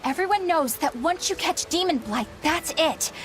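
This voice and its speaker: A woman's voice: high-pitched, lively intonation, moderate pace, slight background noise. A woman playing a proper, dutiful and stubborn young female warrior in a video game, with formal, earnest speech. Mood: surprised, urgent. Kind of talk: English video game voice acting.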